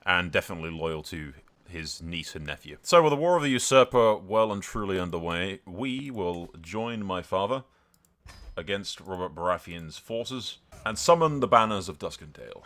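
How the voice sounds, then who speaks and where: Animated, bright-voiced man commentating on a game. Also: fairly low pitch. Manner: excitable, sweary, chatty. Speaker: gaming video host. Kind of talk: casual gameplay commentary with roleplay storytelling.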